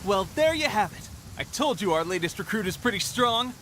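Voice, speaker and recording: A man's voice: sits high, big pitch swings, fairly quick, pushed and bright, slight background noise, exaggerated voice. A man playing an eager, self-important young resistance soldier in a video game, with a competitive, boastful manner. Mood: cocky, upbeat. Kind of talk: scripted video game character acting.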